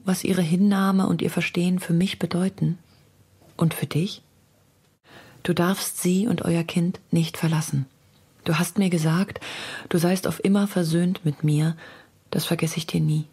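An actress playing an anguished woman poet, reading her letters in an intimate, earnest, breathy half-whisper. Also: fairly low pitch, darkish tone, heavily acted.

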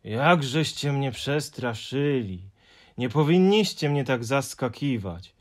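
A man's voice: mid-range voice, animated. Hemulen: a man doing a gloomy fussy creature. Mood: glum. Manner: mournful, sighing, drawn-out complaints. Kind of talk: audiobook character voice.

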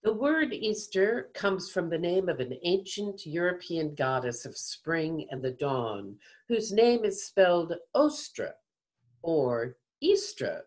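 Slow, very lively older woman storyteller. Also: on the low side. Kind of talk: scripted storytelling for children. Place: home room, indoors. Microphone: video call computer mic.

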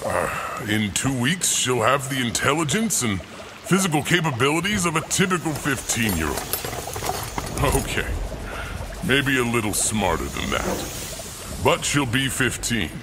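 An actor playing a doting, hopeful scientist father in an audio drama, measured and earnest. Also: medium pitch, very animated, noisy background. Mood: proud, explaining. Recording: music under the voice.

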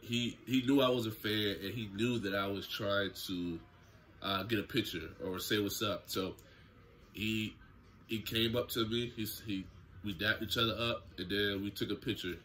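A young man talks straight into a close camera in a small room at home, telling a casual story-time tale. His voice sits low, but it has a bright, forceful edge. He keeps it loose and slangy. A faint ambient hum sits behind him. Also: fairly even intonation, moderate pace. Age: young adult. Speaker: YouTuber. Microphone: camera close in front, seated.

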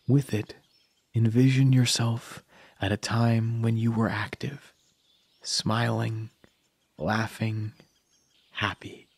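A man narrating a scripted guided meditation in a calm, soothing manner with long pauses. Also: mid-range voice, breathy edge, dry recording.

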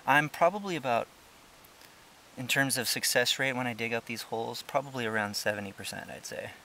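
A young man casually narrating a hiking vlog outdoors on a trail, explaining things in a relaxed, chatty way with many fillers, recorded on the handheld camera he films with. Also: medium pitch, a little fast, creaky, slight background noise. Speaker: ant-keeping channel host. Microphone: handheld camera he is filming with.

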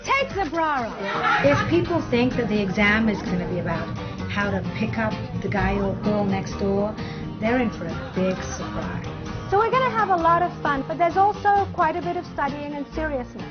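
A woman's voice: on the high side, animated, moderate pace. A woman therapist leading a workshop in an upbeat, directive way. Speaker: relationship therapist and seminar leader. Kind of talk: coaching a workshop. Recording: dull lo-fi TV clips with music.

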